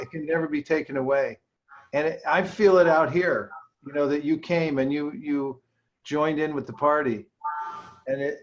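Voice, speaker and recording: Man, spiritual teacher, fairly high voice, halting, muffled sound. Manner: halting and repetitive, with many restarts. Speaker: guest speaker, spiritual teacher. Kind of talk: warm encouragement and questions to a group.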